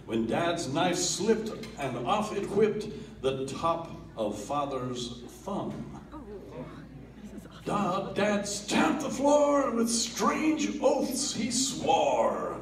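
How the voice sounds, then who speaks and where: A man giving a dramatic reading of a comic poem at a family gathering, theatrical, with swells and hushed drops. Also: mid-range voice, a little hiss or hum. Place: family holiday gathering in a room. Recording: roomy, distant home video.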